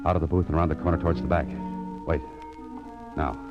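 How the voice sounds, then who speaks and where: An actor playing a wry, cool-headed private investigator in 1940s radio style, with a sardonic way of talking. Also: male voice, very deep voice, flat delivery, fast talker. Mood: tense, musing. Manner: sardonic, talks to himself in narrated asides. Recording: dull vintage radio recording, music behind.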